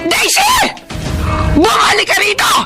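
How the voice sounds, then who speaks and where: A woman's voice: extremely high pitch, very animated, measured pace, creaky, over music, full character voice. An actress playing a harsh, domineering middle-aged mother in a melodramatic radio drama, scolding and explosive. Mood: furious.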